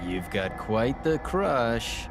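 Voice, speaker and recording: A man's voice: mid-range voice, very animated, drawn-out words, music in the background, full character voice. A man playing a wry, aloof young video game character with a dry, teasing delivery. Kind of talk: video game cutscene acting.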